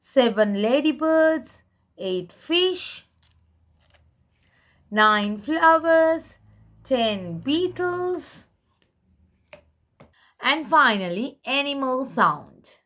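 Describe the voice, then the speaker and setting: Female children's book reader, higher voice, lively melodic swings, very unhurried word-by-word delivery for little ones. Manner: simple, deliberate word-by-word delivery. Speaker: presenter showing and reading children's books. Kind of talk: slow read-aloud for toddlers.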